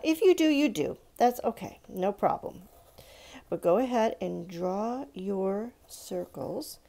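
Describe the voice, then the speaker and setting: Woman with creaky, mellow teaching voice. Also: medium pitch. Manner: patient, encouraging, talking along while drawing. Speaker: art teacher for children. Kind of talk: casual step-by-step drawing tutorial for children. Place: indoors at a countertop.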